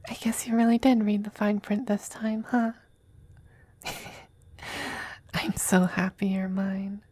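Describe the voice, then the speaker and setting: A woman playing a tender, possessive male demon femboy in an intimate ASMR roleplay, soft and breathy, often whispering, with a hesitant, coaxing manner. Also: mid-range voice, steady tone. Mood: happy, adoring. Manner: slow, hesitant, gently coaxing.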